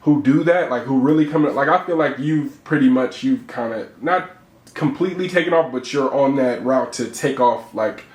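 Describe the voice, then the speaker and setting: A laid-back young man hosts the interview in easy, slangy talk at a mid pitch. He laughs easily as he chats. The room around him has a clear echo. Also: normal speed. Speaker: interview host. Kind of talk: casual interview chat. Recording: echoey room.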